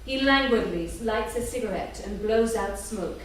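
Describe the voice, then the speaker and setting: A woman's voice: medium pitch, moderate pace. Female stage-directions reader for a live play reading; distant, heavily reverberant recording with faint noise. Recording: echoey room from far off, faint noise.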